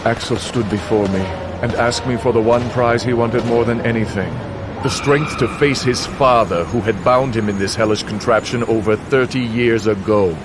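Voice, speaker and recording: A sinister contest master voiced by a man narrating a video game with grand theatrical flair. His mid-pitched voice is measured and dramatic, over clearly audible noise. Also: close to an everyday voice. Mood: ominous storytelling.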